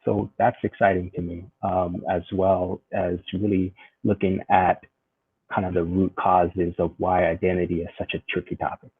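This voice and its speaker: A man's voice: low voice, level tone, moderate pace, breathy edge, no room sound. A man in his forties, a guest in a conversational interview, speaking thoughtfully with frequent fillers and restarts over a video call. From United States. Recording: tinny phone-like video call.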